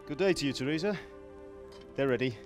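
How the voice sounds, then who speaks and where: A man's voice: medium pitch, a little fast, faint music behind, close to an everyday voice. An actor playing a hardworking blacksmith father who talks plainly and practically.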